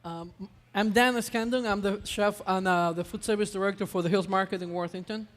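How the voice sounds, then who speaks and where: A man's voice: high voice, fairly even intonation, a little fast, a bit bright, in a large hall. A man from Ecuador, a chef chatting through a live cooking demonstration, hesitant with many fillers.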